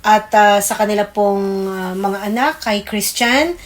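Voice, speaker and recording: Filipino woman co-hosting a church radio show, polite, kinda halting, middle pitched voice. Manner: polite, halting while recalling names. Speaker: radio program co-host. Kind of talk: religious radio greetings and prayer.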